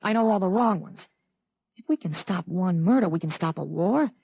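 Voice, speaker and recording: Casting: a self-assured, urgent teenage boy with a grown soldier's head, mid-range pitch, dry 1950s radio drama sound. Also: animated, moderate pace, vocal fry, a little mellow, breathy edge, dry recording. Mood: confident, persuasive.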